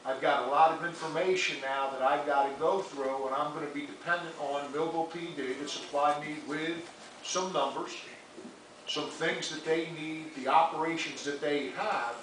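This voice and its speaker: A man serving as mayor gives measured, explanatory remarks at a public meeting. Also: fairly high pitch, fairly even intonation, a bit bright. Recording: very echoey room, distant mic, noisy.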